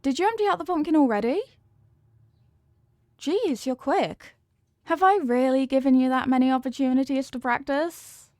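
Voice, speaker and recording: Woman playing a high-voiced, sweet headless horsewoman girlfriend. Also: normal speed, no echo, studio quality, lightly acted. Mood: affectionate, rambling. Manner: soft-hearted, sheepish, affectionate, rambling explanations. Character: sweet, apologetic, clumsy headless horsewoman girlfriend, centuries old. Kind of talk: intimate ASMR roleplay audio.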